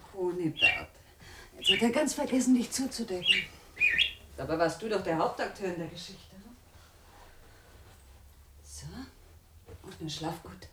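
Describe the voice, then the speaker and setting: An actress playing a breezy, teasing girlfriend in a radio drama, chatty and expressive. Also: on the low side, very noisy. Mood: soft, cooing. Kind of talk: German radio drama acting.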